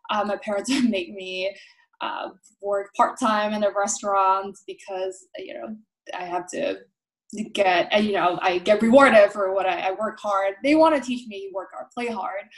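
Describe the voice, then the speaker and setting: A woman's voice: medium pitch, rough recording. Young woman guest talking casually. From Taiwan. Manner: thoughtful, frequent fillers and restarts. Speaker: interview guest, food blogger. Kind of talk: casual interview conversation.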